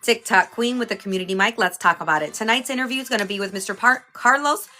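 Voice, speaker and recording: A woman's voice: mid-range voice, quick pace, sharp tone. A woman from Houston, chatting casually as a livestream host, greeting viewers by name and sounding a little stuffy from a cold. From Houston, Texas.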